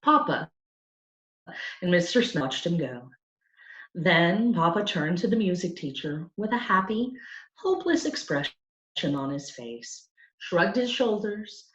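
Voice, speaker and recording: A woman narrates a children's story with lots of expression. Her pitch rises and falls widely while the pace stays slow and deliberate. The room is audible around her, and the sound is slightly dull. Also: roomy sound. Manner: expressive, emphatic. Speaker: storybook reader.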